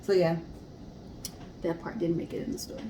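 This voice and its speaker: A woman's voice: fairly low pitch, little pitch movement, normal speed, dark tone. Woman podcast host in an echoey room. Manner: explanatory, addresses listeners directly. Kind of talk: casual discussion of a history story. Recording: roomy echo, clear background hiss.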